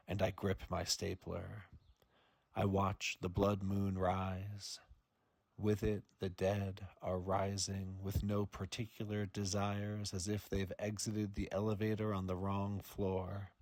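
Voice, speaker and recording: A man reading his own poem in measured, deliberate phrases. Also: sits low, creaky, darkish tone, no room sound. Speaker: poet reading own work. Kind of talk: poetry reading.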